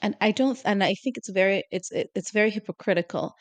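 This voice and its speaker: A woman's voice: medium pitch, fast talker, no echo. Opinionated woman chatting, dry close sound. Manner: opinionated, animated, lots of "you know?". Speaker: podcast co-host. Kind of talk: casual chat.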